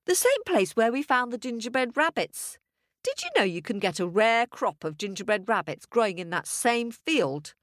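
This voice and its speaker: A woman voicing an excitable, chatty, boastful farmer's wife in a folk tale, gushing and rambling. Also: fairly high pitch, normal speed, dry recording, clean studio sound, voice pushed up. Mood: proud, gushing. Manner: gushing, breathless exclamations, rambling. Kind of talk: storybook character voice.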